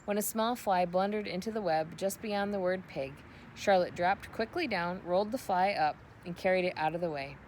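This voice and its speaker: A woman's voice: fairly low pitch, moderate pace, darkish tone, a little hiss or hum, low-quality recording. A woman narrating a children's storybook aloud.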